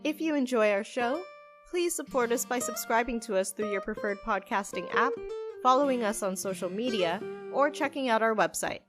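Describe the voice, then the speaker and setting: A woman's voice: a little high, lively intonation, normal speed. A woman announcing podcast credits in scripted narration, with plucked guitar music behind.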